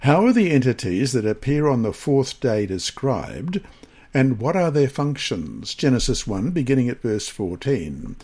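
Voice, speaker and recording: A man with a slightly husky voice reads a scripted Bible study lesson in a measured, deliberate manner. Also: mid-range voice, big pitch swings, darkish tone. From Australia.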